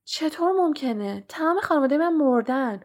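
A woman voicing an earnest young orphaned boy wizard, speaking higher and with more force than her narration. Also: lively intonation, darkish tone. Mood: pained. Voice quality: raised, high and emphatic.

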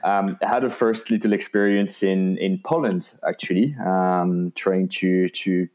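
A man from France speaks with a French accent in a very low voice, as a podcast guest telling his story. He stops and restarts often, searching for words. The sound is muffled and lo-fi. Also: unhurried, darkish tone. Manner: hesitant, many restarts and fillers. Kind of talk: casual interview talk. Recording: dull lo-fi sound.

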